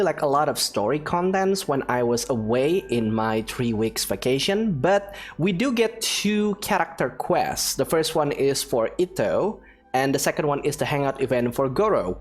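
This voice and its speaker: A gaming video host giving casual, playful let's-play commentary in a chatty way. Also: medium pitch.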